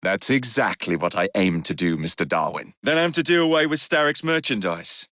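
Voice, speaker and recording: Cheeky young english gang boss from victorian london, video game, theatrical swings in pitch, close dry sound. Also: male voice, expressive, normal speed, fairly bright tone, dry recording. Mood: purposeful. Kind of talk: dramatic video game dialogue lines.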